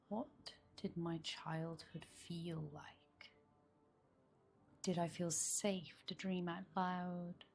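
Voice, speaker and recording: A woman guiding a meditation in a soft, soothing manner, with phrases often trailing into breathiness. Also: lowish voice, creaky. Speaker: meditation guide. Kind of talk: guided meditation. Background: background meditation music.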